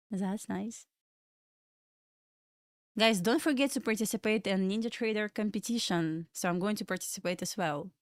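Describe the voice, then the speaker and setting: A woman's voice: mid-range voice, unhurried, long pauses, dry recording, clean studio sound. A young adult woman from Belarus with a Russian accent, chatting loosely with viewers while she hosts a live trading stream. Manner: chatty, loose and rambling, answering chat questions between market comments. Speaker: livestream trading host. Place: home room in Florida.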